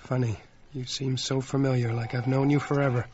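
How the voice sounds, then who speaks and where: An actor playing a suave, attentive male musician suitor in an audio drama, courteous and flattering. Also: mid-range voice, fairly quick, close to an everyday voice. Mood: admiring. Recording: dull lo-fi audio with background noise.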